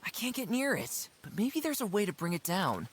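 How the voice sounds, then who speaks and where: A woman's voice: medium pitch, big pitch swings, moderate pace, slight background noise, close to an everyday voice. A woman voicing a polite, earnest young deer adventurer in a cartoon adventure game, chatty and playful. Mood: teasing.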